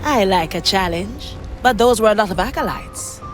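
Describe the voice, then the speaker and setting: An actress playing a confident, plucky female pirate recruit in a video game, with a brisk, wry delivery. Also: mid-range voice, lively intonation, over music, close to an everyday voice, poor audio.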